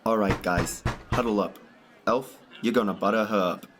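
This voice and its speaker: A man playing a brisk, bossy ringleader hatching a scheme in a radio drama. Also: on the low side, noisy background, close to an everyday voice. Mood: conspiratorial. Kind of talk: school radio drama acting.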